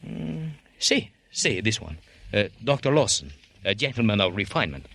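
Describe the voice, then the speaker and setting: Suave, lively Spanish-accented travel agent. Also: male voice, on the low side, creaky, heavily acted. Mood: suave, wry. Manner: florid, courtly, unhurried phrasing. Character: suave, flowery Latin male travel agent. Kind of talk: 1950s radio crime drama acting.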